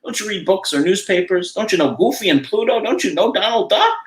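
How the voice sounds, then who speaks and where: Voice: mid-range voice, expressive, brisk, recorded from a distance, pitched above the performer's own voice, natural voice. A reader doing a cocky cartoon duck. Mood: blustery. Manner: blustery, exclaiming. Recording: roomy echo.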